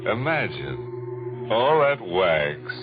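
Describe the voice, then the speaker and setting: Sardonic macabre horror host, very slow, swooping theatrical delivery, old muffled radio sound. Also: male voice, deep voice, sing-song, heavily acted. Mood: ghoulishly amused. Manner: theatrical, slow, mock-friendly morbid humour. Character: sardonic, macabre male horror host cracking gruesome puns. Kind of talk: 1940s radio horror hosting. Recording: muffled vintage radio recording with music.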